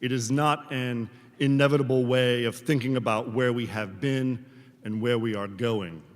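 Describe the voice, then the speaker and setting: A man gives a formal, prepared address in a large hall with long reverb. His voice sits at a middle pitch. He phrases deliberately, setting short clauses apart with rhetorical pauses, as a professor speaking at a graduation. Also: fairly even intonation. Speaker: professor giving a commencement speech.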